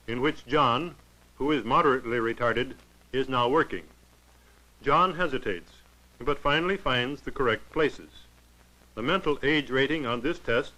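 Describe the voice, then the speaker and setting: A man narrating a 1940s educational film in a formal, clinical, measured way. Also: mid-range voice, pushed and bright, rough recording.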